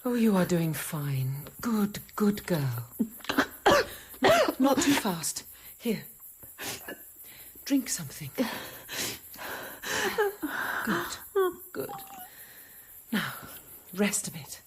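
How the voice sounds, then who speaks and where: An actress in a radio drama playing a caring, persuasive convent nun who coaxes and soothes. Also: female voice, mid-range voice, big pitch swings, takes it slowly, long pauses, husky, heavily acted. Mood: soothing. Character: caring, coaxing convent nun nursing a starving girl. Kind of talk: radio drama acting and first-person narration.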